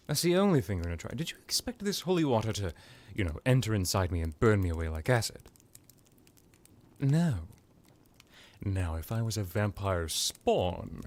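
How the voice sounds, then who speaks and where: A man playing a smug, powerful vampire lord in an ASMR roleplay, talking in a halting, boastful, condescending and mock-polite way. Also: lowish voice, darkish tone, full character voice. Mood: grandiose, boastful.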